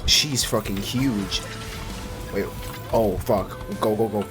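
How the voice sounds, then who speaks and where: A man with a lowish voice gives casual gameplay commentary. His talk is slangy and sweary, in a streamer's reaction style, with prominent music playing behind him. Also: slow. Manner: slangy, profane. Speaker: gaming streamer. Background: loud game music.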